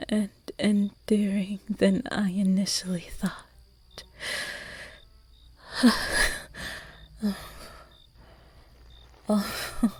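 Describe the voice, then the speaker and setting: A woman voicing a charming, flirtatious female vampire at a masked ball in an audio roleplay, speaking in soft, seductive whispers with a breathy voice. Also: medium pitch, exaggerated voice. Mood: softly menacing.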